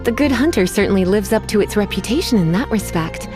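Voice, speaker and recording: A woman's voice: medium pitch, expressive, fast, over music, lightly acted. A woman playing a playful, flirtatious witch librarian, lilting. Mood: leisurely, refined. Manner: playful, sultry, uses pet names. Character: flirtatious, elegant witch librarian, teasing with a veiled threat. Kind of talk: video game character voice acting.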